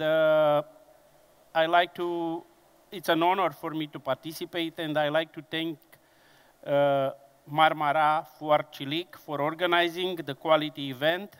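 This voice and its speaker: A man in his fifties from Romania, speaking English with a Romanian accent as a non-native speaker, giving an industry conference presentation in a careful, halting way with frequent pauses and fillers. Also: a little high, level tone, clear, resonant tone. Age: 50s.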